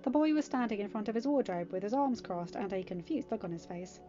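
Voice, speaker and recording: A woman narrates a scripted story in audiobook style. Her voice sits at an average pitch. The recording is a touch dull, with music playing underneath. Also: animated, brisk, mellow. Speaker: podfic narrator.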